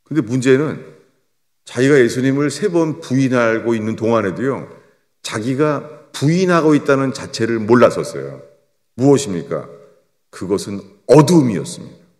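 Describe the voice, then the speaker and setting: An older man preaching a sermon as a pastor, swinging between raised emphatic lines and low, quiet drops. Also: lively intonation, normal speed. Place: church sanctuary. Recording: long church reverb.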